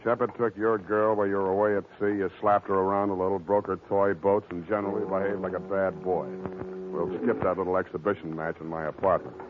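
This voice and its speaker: An actor playing a weary, sardonic hardboiled private detective with curt, slangy delivery in an old-time radio drama. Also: male voice, sits low, level tone, quick pace, lightly acted. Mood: brisk, sardonic. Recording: noisy, tinny old-time radio recording.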